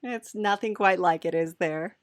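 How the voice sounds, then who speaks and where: A woman hosting a playful, improvised comedy interview in a halting, giggly, chatty way. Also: lowish voice, no echo, studio quality. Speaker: podcast host.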